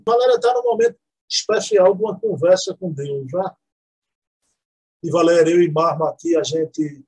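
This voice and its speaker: A man with a Northeastern Brazilian accent co-hosts a casual talk, his voice set fairly high and a little husky. He heaps praise on what he discusses and keeps tossing in little tag questions. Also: rough recording. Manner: warm effusive praise, frequent "né?" asides. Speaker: co-host. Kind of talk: casual chat.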